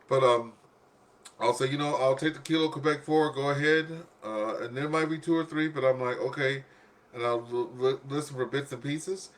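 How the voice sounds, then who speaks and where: A man's voice: mid-range voice, fairly even intonation, moderate pace. A man hosting an amateur radio net in casual chat, telling stories and acting out exchanges as he goes.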